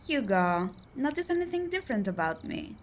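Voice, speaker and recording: A woman's voice: fairly high pitch, very animated, normal speed, a little hiss or hum. A woman acting a worldly, chatty, poor young woman in a radio play, confiding and folksy. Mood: eager.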